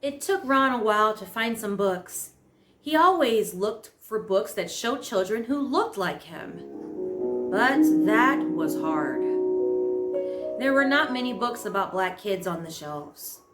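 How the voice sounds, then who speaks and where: A woman narrating a children's story as host, with an expressive, deliberate storyteller delivery. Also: mid-range voice. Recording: roomy echo under prominent music. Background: guitar and piano music score.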